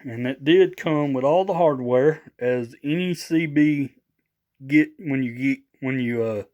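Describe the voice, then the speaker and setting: A man's voice: mid-range voice, a little slow, darkish tone, dull audio. A slightly tired man, rambling casually in a folksy, unscripted show-and-tell for a vlog. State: a little tired after work. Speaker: vlogger showing off a new CB radio.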